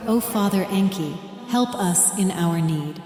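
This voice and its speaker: A woman's voice: medium pitch, music in the background, close to an everyday voice. A woman playing a devout, fervent religious leader in an audio drama, with solemn, declamatory delivery. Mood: reverent. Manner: solemn, declamatory, deliberate oratory. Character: fervent, devout female religious leader rallying her people. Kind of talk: first-person audio drama narration with speeches and prayer. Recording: echoey hall reverb, ambient noise behind.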